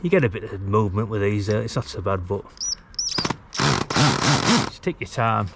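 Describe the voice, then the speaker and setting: A man's voice: fairly low pitch, normal speed. A British man giving a casual, colloquial hands-on DIY tutorial while he works. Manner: informal, colloquial, talking while working. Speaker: DIY vlogger, radio hobbyist. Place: outdoors at a house's brick wall. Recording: clear background noise, outdoor work ambience.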